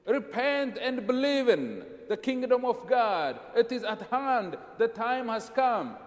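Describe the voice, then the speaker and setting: Preacher from Ethiopia, a man with a high voice, emphatic, repetitive sermon phrasing, long reverb of a church hall. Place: church sanctuary.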